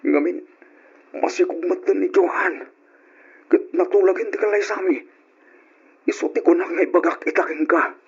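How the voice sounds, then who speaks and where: A muffled old radio recording of a guilty young male lover with an Ilocano accent. His fairly high voice halts and pleads, evasive and sorry in radio-melodrama style. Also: normal speed, long pauses, husky, full character voice. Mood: hesitant, guilty.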